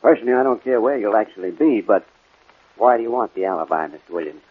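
An actor playing a cagey, greedy underworld fixer in a 1940s radio crime drama, slangy and quietly menacing. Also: male voice, on the low side, lively intonation, quick pace, slightly rough. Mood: cold, businesslike. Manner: slangy, menacing, repeats pet phrases. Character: cagey, greedy male underworld alibi seller. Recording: muffled, scratchy 1940s radio recording.

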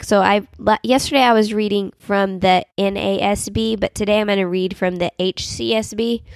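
Chatty young woman, gravelly voice. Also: mid-range voice, no echo, studio quality. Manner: chatty, earnest, lots of fillers like "um" and "like". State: recovering from a sinus infection, voice cutting in and out. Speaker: podcast host. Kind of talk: casual devotional podcast talk.

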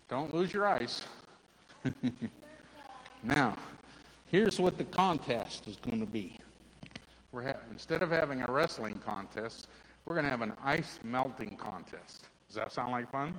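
Man, loud voice, children's Bible teacher, questioning kids; long reverb, faint ambient noise. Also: mid-range voice. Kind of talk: Bible story told to children, then a hands-on activity.

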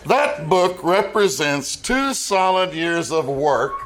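A man's voice: fairly high pitch, sing-song, slow, a bit bright, slight background noise, full character voice. A man acting a diffident, flustered writer of thirty with a hesitant stammer, in 1940s radio comedy style. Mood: wry. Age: 30s. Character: diffident, awkward thirty-year-old writer, wry and flustered.

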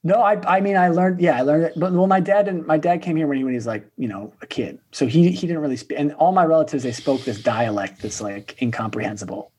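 A man's voice: a little high, fast talker, few pauses, darkish tone, husky. A man in casual podcast chat, rambling with lots of fillers and restarts.

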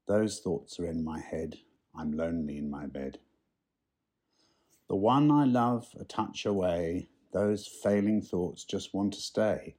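A man with a husky voice giving a poetry reading. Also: low voice, mellow. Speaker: poem reader.

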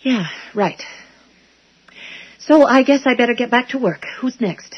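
A woman's voice: on the high side, expressive, measured pace, slightly rough, no room sound. A woman playing a polite, tentative, inquisitive new dental assistant in a 1980s radio drama. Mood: apologetic. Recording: dull old radio recording.

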